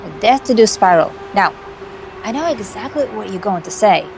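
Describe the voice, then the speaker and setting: A woman playing a scheming, cocky female vampire hacker in an amateur visual novel performance, theatrical and condescending with dramatic pauses. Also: mid-range voice, expressive, noisy background, close to an everyday voice. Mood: theatrical, vengeful. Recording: music behind the voice.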